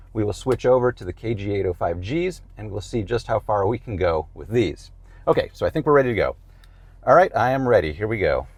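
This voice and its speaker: A man in his fifties with an American accent, explaining a product in a plain-spoken, conversational way. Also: fairly low pitch. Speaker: presenter reviewing gear.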